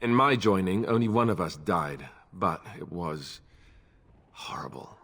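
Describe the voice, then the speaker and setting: A man voicing a weary warrior veteran who speaks earnestly and somberly, with a husky voice. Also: deep voice, expressive, a little slow, fairly bright tone, exaggerated voice. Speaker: game character.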